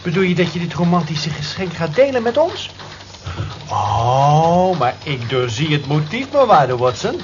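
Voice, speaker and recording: Radio play: jovial office tease, man, highish voice, mock-pompous, muffled lo-fi sound. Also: big pitch swings, a little slow. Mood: mock-grand. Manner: mocking, theatrical, jocular. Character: teasing, jovial male office colleague, mock-grand. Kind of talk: radio drama acting. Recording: dull, noisy old radio recording.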